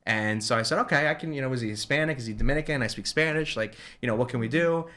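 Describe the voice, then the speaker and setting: A man from New Jersey talks quickly and at a middle pitch, telling a story in a relaxed chat. He slips into the voices of the people he quotes as he goes. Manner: fast, animated retelling with quoted dialogue. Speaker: guest, ice cream shop owner. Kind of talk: casual storytelling.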